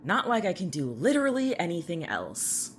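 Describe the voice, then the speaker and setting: A man's voice: high voice, wildly swooping pitch, normal speed, slight background noise. A young man acting in an audio drama as a sarcastic, irritable young man trapped in a horror town, dry and defiant. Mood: guarded, sarcastic. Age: young adult.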